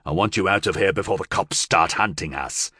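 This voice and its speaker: A man voicing a big possessed man who speaks with slow, purring, cold menace. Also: low voice, sharp tone, husky, no echo, full character voice. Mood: menacing.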